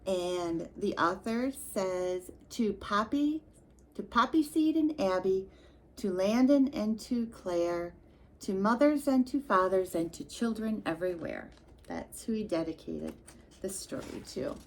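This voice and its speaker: Female storytime reader for little kids. Unhurried delivery, lively swooping pitch, talks directly to the children. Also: rough recording. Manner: expressive, sing-song, addressing children directly. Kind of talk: read-aloud storytime for young children with chatty asides.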